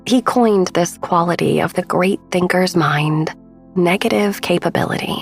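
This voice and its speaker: A woman hosting a sleep meditation podcast, speaking in a gentle, unhurried, intimate way as she narrates soothingly. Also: lowish voice, vocal fry, soft background music. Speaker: podcast host and meditation guide.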